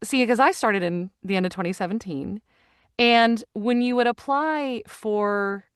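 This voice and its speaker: A middle-aged woman, a voice actor and audiobook narrator, chatting in an interview with lively enthusiasm and many restarts. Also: on the high side, unhurried, clear, resonant tone, no echo, studio quality. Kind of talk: casual interview chat. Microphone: studio microphone.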